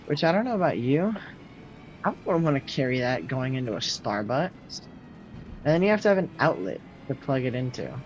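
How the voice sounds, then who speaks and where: A young man in his late teens with a loud, projecting voice, rambling casually to his viewers while he games and answers their messages. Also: medium pitch, sing-song, normal speed. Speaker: streamer. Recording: slightly muffled, faint game music behind.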